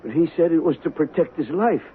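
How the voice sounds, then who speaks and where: Man playing an anxious, high-voiced western shopkeeper. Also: lively intonation, quick pace, natural voice. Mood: fearful, pleading. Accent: American. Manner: anxious, hesitant. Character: nervous, pleading western shopkeeper Clem, hired gun. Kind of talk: 1970s radio drama acting. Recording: muffled, hissy vintage radio recording.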